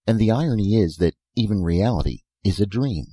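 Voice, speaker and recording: A man's voice: on the low side, lively intonation, fairly quick, mellow, breathy edge, dry recording. A man voicing an eccentric, philosophizing old professor who talks in short, insistent phrases and rhetorical questions in a storybook reading. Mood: sly, philosophical. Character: elfin bearded old male professor, eccentric, philosophical inventor.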